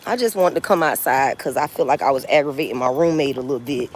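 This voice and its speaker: A woman in her forties talking live to her viewers from outside on a phone, with a low voice and faint noise around her. She is blunt and repetitive, swearing freely and laughing often. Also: quick pace. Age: 40s. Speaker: livestreamer. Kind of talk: casual live-stream chat with viewers. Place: outdoors.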